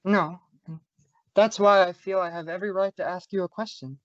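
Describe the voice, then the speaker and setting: A woman's voice: on the low side, steady tone, moderate pace, dry recording, lightly acted. A young woman playing an easygoing, teasing male homicide inspector with a casual manner, in old-time radio style. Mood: earnest, hesitant. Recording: dull lo-fi audio, very dry.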